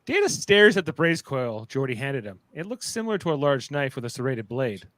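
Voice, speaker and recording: A man's voice: mid-range voice, very animated, a little fast, a bit bright, no room sound. A man narrating a radio play, reading out stage directions in the present tense. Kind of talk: scripted narration of a radio play live read.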